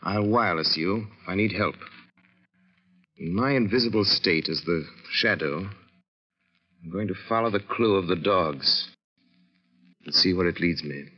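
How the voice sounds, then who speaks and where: An actor playing a suave, wealthy amateur sleuth with a measured, wryly understated delivery in old-time radio drama style. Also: male voice, low voice, muffled recording. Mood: resolute. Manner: measured, deliberate, dry understatement. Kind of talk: 1930s-40s radio drama acting.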